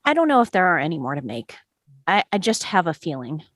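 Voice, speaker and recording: A woman playing a quiet, thoughtful, doubting man on a jury, reflective and reasoned, in an amateur table read. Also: fairly low pitch, big pitch swings, fairly quick, no echo, clean studio sound. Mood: hesitant.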